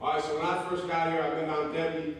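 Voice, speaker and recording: A man's voice: on the high side, flat delivery, fast talker. A middle-aged man from Wisconsin, a college basketball coach giving a casual motivational talk to students and asking them questions. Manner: casual, asks the crowd questions, loud voice. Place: large echoey hall. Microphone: speaking without a microphone, far from the recorder. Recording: echoey hall, distant voice, faint noise.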